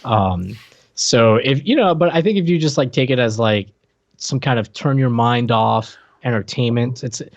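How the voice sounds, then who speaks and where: A man's voice: mid-range voice, expressive, normal speed. A man with a mid-range voice chats casually as a podcast co-host. His humor is dry and sarcastic. The sound is slightly muffled, with no room echo.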